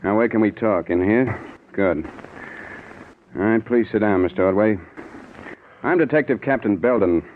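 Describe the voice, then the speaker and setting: A tough, skeptical American detective, played by a man with a lowish voice. He is commanding and sardonic in the hard-boiled manner of 1940s radio acting. The sound is narrow and tinny, like an old broadcast. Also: normal speed, pauses often, slight background noise, close to an everyday voice. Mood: brisk authority.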